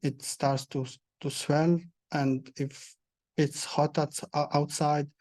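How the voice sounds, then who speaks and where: A young man from Bosnia, speaking as a panel guest with many pauses and restarts. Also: on the high side, little pitch movement, darkish tone, no room sound, clean studio sound. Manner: halting, many hesitations and restarts. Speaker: panel guest, prosthesis user. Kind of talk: panel discussion answers.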